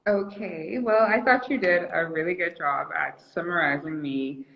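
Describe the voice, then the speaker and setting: A woman's voice: lowish voice, moderate pace, gravelly. A woman of twenty-five from the Bahamas, a guest in a casual chat, friendly and chatty with lots of ums, heard over a phone. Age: 20s. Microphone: phone, joining a live stream remotely. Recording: muffled lo-fi phone audio.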